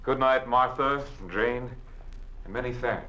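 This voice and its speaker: An actor playing an uneasy, polite visitor in a 1950s radio drama, speaking hesitantly. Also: male voice, medium pitch, a little slow, highs cut off, close to an everyday voice. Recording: old radio transcription with loud hiss and clicks.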